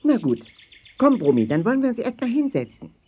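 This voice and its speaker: An actor playing a friendly, sensible and polite leader of travelling companions in a children's audio play, expressive and clearly spoken. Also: mid-range voice, fairly quick. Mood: curious. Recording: faint noise with birdsong.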